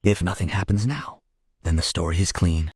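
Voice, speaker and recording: A man's voice: sits low, normal speed, a little mellow, slightly rough, no echo. A man playing a dogged police investigator who narrates his case in a dry, matter-of-fact way. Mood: measured, matter-of-fact. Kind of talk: scripted crime audio drama narration. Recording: music under the voice. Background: music.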